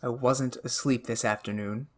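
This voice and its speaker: An actor playing a young vampire son in a radio drama, speaking with calm, matter-of-fact menace. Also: male voice, medium pitch, normal speed, close to an everyday voice. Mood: eerie calm. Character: young man vampire son, eerie, shapeshifting, unrepentant.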